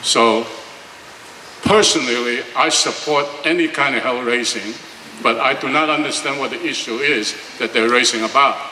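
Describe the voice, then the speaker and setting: A man's voice: mid-range voice, pushed and bright, slight background noise. An older man from Hong Kong, a panelist giving a blunt, opinionated answer at a panel discussion. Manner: blunt, opinionated, plain-spoken. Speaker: panelist, former student striker. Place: auditorium panel event. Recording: roomy hall, mic at a distance.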